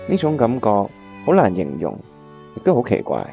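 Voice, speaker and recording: Guy acting earnest hong kong cantonese bachelor in a radio play, low mellow voice, bit muffled, music behind. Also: huge pitch swings, normal speed, creaky, exaggerated voice. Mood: wistful. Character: earnest, reflective bachelor looking back on old love. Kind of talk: Cantonese radio drama narration and dialogue.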